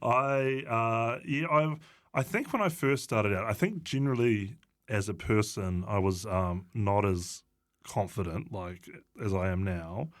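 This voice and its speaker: A man with a New Zealand accent chatting casually as a comedian guest on a podcast, halting and hesitant, with dry self-deprecation. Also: on the low side, fairly bright tone. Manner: halting, hesitant delivery with long drawn-out pauses. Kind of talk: casual comedic interview chat.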